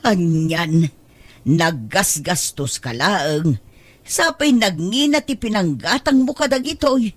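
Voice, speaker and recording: A woman's voice: lowish voice, animated, takes it slowly, no echo. A woman playing a fretful, thrifty mother of a grown daughter in an audio drama, scolding as she goes. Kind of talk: Ilocano audio drama dialogue.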